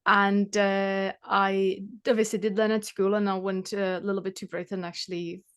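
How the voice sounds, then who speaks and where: A woman in her thirties from the Czech Republic chats easily about her tutoring work. Her first language is Czech. She talks at a middle pitch, with frequent fillers, restarts and quick laughs. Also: little pitch movement, clean tone. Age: 30s. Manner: chatty, many fillers and restarts, laughs often. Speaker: maths tutor. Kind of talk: casual interview chat.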